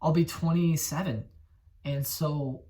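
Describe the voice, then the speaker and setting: Excitable young man rambling about games. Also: fairly high pitch, takes it slowly, distant mic. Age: 20s. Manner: excitable, rambling, self-correcting. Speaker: gaming channel host. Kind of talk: casual gaming commentary.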